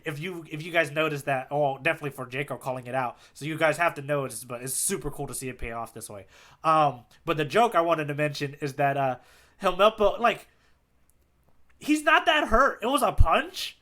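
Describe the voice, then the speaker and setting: A man co-hosting a podcast, chatting casually in an animated, slangy way with plenty of "like". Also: on the high side, brisk. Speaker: podcast co-host. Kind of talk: casual chat, manga readalong commentary.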